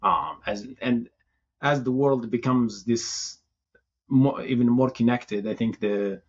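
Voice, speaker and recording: A Moroccan-born man whose first language is Tamazight, answering interview questions in a thoughtful, halting way, often restarting his phrases. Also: mid-range voice, lo-fi sound. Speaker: city information security chief, guest.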